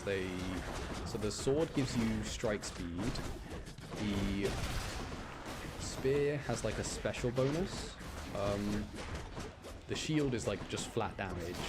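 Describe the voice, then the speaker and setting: A man's voice: medium pitch, noisy background. A man giving casual, chatty live game commentary, explaining the gameplay as he plays and often repeating short phrases. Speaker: video game streamer. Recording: game music behind the voice. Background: video game music.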